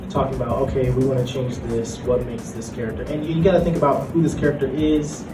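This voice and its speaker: A young man speaking casually and somewhat haltingly as a panelist and art director. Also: mid-range voice, little pitch movement. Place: convention panel room. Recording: echoey room, distant mic, music behind.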